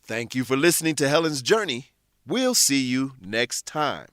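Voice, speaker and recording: Lively male English-lesson narrator. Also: medium pitch, soft background music. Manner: instructional, deliberate phrasing. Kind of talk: scripted educational narration.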